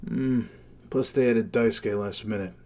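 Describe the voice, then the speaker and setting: An actor playing an affable, responsible spaceship captain in a game dub, with a casual, reassuring manner. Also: male voice, lowish voice, steady tone, measured pace, a little mellow, slight background noise. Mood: musing, concerned. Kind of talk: game fandub voice acting.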